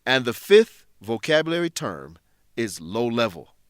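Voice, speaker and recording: A man presents a scripted English lesson in a deliberate, instructive manner. His mid-pitched voice moves up and down in a lively way, with a very dry sound. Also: dry recording. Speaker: lesson narrator, English teacher. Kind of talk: scripted educational narration.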